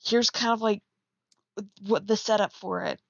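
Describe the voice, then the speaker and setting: A woman's voice: medium pitch, fairly even intonation, normal speed, vocal fry, dry recording, lo-fi sound. A giggly woman casually reading fan fiction aloud and breaking off to comment on it as she streams.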